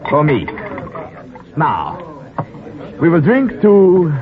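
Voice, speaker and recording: Imperious, suave German wartime villain. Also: male voice, on the high side, huge pitch swings, extremely slow, crowd noise, exaggerated voice. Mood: suave, romantic. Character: imperious German officer, suave, possessive old lover. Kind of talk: 1950s radio drama villain acting. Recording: tinny 1950 radio recording, music behind.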